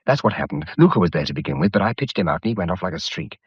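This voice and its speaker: Gallant gentleman, low husky voice, wry, clipped, animated intonation, radio drama. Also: racing, no room sound, muffled recording, full character voice. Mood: wry, rueful. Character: wry, offhand, upper-class English gentleman, gallant.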